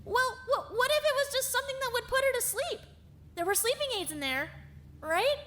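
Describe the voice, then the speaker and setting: A woman voicing an excitable, stubborn young woman in an animated murder mystery. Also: extremely high pitch, brisk. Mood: defensive, insistent. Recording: faint background noise.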